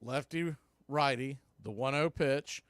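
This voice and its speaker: A man calling baseball play-by-play in a relaxed, chatty way with wry asides. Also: medium pitch, slow pace, clean tone, no echo, studio quality. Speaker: play-by-play announcer.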